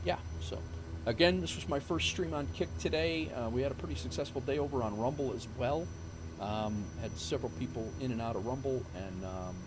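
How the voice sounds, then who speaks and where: A man's voice: mid-range voice, noisy background. A man streaming live, chatting casually and rambling with many fillers and restarts, his voice starting to go. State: losing his voice.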